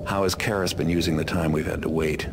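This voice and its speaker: A man playing a cynical, world-weary master thief in a video game, with a gravelly voice and a deadpan, wry delivery. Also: fast talker, noisy background. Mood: dry, guarded. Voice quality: gravelly, low. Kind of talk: noir-like scripted game narration and dialogue.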